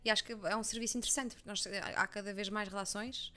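A young woman journalist with a Leiria Portuguese accent talks quickly and eagerly in an interview. Her speech rushes forward, full of fillers and restarts as she works through her ideas. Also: medium pitch. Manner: fast, animated, many restarts and fillers. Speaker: journalist guest. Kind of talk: conversational interview.

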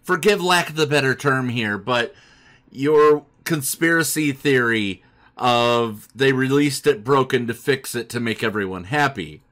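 Male podcast host, average pitch, informal conversational delivery for a gaming talk show. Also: unhurried, a bit bright. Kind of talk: casual hosted discussion with listener shout-outs.

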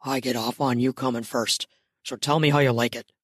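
A woman voicing a lustful, admiring male lover in an audiobook, with a gruff, cocky, muttering delivery. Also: fairly even intonation, no room sound, studio quality. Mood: gruff, aroused. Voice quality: low husky murmur. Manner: slow, muttering through clenched teeth.

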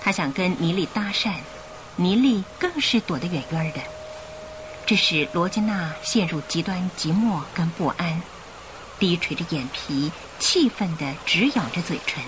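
A woman narrating a Chinese radio drama in a scripted, expressive storytelling style. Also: fairly low pitch, measured pace, background noise. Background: music.

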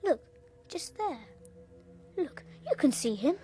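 Bossy, high-pitched British little boy. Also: very animated, slow, mellow, faint music behind, exaggerated voice. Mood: insistent. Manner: insistent, repetitive, wheedling. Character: bossy, eerie little boy, insistent and taunting. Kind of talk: British radio horror drama acting.

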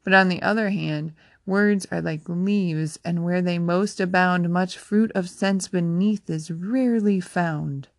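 A woman's voice: on the low side, a little slow, no room sound, clean studio sound. A woman reading the part of a smug, pompous fire captain from a novel, theatrical and mocking. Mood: grandly mocking. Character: smug, taunting fire captain, a man who loves quoting.

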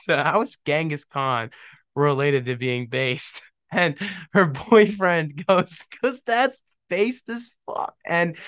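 A man's voice: a little high, pushed and bright, smooth, no room sound, studio quality. A young man hosting a podcast, talking in a rambling, slangy way and laughing often, with a stuffed-up nose from a cold. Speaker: podcast host. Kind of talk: casual solo chat.

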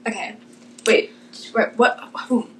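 A woman's voice: fairly high pitch, slow pace, poor audio. A young woman co-hosting a casual podcast, bubbly and theatrical. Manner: bubbly, theatrical, sings snippets. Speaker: podcast co-host. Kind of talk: casual chat.

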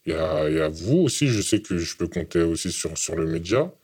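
Young man, very low pitch, halting interviewee who keeps repeating words. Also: big pitch swings, a little mellow, slightly rough. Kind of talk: testimony interview. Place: hidden from camera.

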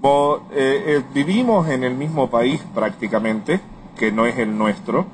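A man gives measured, formally worded answers to a survey. His voice sits at a middle pitch, with clear noise around him. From Puerto Rico. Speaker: survey respondent. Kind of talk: formal spoken interview answers. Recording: noisy on-location interview recording.

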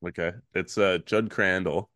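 A man hosting a podcast, his voice raspy and hoarse from a recent illness, reading trivia questions slowly in casual chat. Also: sits low, dry recording, studio quality. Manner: reads questions slowly, relaxed filler-heavy talk. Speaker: podcast host.

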